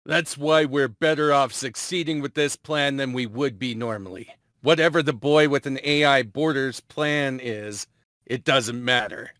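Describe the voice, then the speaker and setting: A man's voice: mid-range voice, a little slow, fairly bright tone, no echo, studio quality, natural voice. A man playing a scheming scientist doctor in an amateur fantasy drama table read, explaining things like a lecturer. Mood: dismissive.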